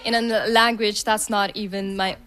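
A woman's voice: mid-range voice, flat delivery, moderate pace, fairly bright tone. Earnest Punjabi-speaking woman from India. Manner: heartfelt, earnest. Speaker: event attendee. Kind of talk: vox pop interview.

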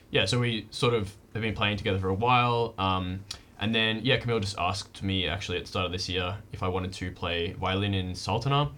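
A young man, a violinist, answering interview questions in a relaxed, hesitant way with many fillers. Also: sits low, a little hiss or hum.

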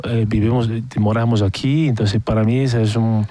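A man's voice: on the low side, fairly even intonation, moderate pace, mellow, no echo. A middle-aged man from Brazil with a Brazilian Portuguese accent, speaking as a radio guest in a casual interview, often repeating words while he searches for the next one.

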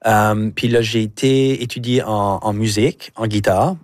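Male musician guest, Acadian French accent, lower-pitched, casual and anecdotal, mixing in English words, close dry sound. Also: steady tone, unhurried, no echo. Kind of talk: casual interview chat.

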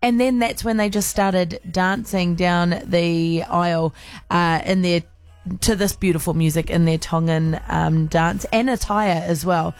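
A woman radio host, chatting casually and enthusiastically as she gives out shout-outs. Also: on the low side, fairly even intonation, measured pace, dry recording.

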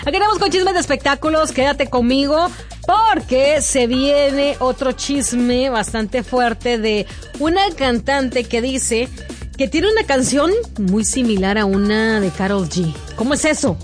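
Gossip radio lady, playful and chatty, kinda high voice, faint music behind. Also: a little slow. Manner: chatty, playful, laughs often. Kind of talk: casual celebrity gossip chat.

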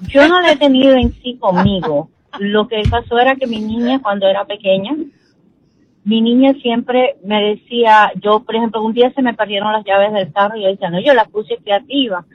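A woman's voice: a little high, moderate pace, a little hiss or hum. A woman in her sixties from Peru calling a radio show and earnestly telling a family story. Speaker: caller. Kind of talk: telling a personal story on a call-in. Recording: dull phone line.

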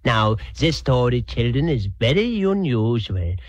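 A man's voice: medium pitch, big pitch swings, measured pace, no echo. A man telling a comic musical story for children in a theatrical, playful, mock-serious manner. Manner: playful, mock-serious, mimics instruments with nonsense sounds.

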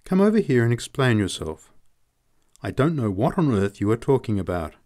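A man's voice: mid-range voice, very animated, normal speed, dry recording, studio quality, pitched above the performer's own voice, natural voice. A man doing a guarded married woman. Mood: cool. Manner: quiet and guarded, prim. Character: married young woman, cool then frightened. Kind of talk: audiobook character reading.

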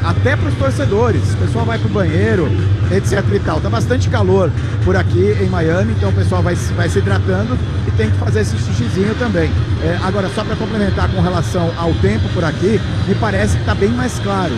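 A man's voice: high voice, rough recording. A Brazilian man reporting live from outside a stadium in a chatty, easygoing way.

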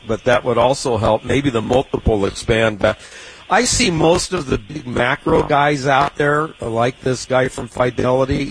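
A man's voice: medium pitch, vocal fry, slight background noise, low-quality recording. A man from Southern California with a San Diego accent, hosting a radio finance show in casual, rambling market commentary full of fillers and small chuckles.

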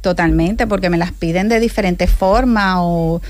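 A woman from Venezuela in her thirties, talking as a guest about her handmade craft business in a lively, repetitive way. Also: fairly low pitch, moderate pace, dry recording. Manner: lively, repeats words for emphasis. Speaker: guest, piñata maker. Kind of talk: casual radio chat.

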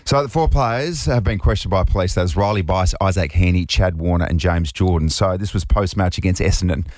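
Deep-voiced Australian man, dry studio sound. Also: brisk, darkish tone, no room sound. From New South Wales, Australia. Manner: conversational, frequent fillers and restarts. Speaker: radio co-host. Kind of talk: casual radio chat.

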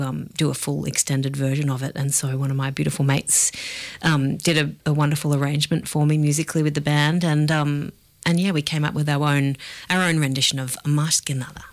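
A woman's voice: low-pitched, no room sound. Chatty woman singer, dry studio. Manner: chatty, laughing, restarts. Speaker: jazz vocalist guest. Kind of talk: relaxed radio interview chat. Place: radio studio.

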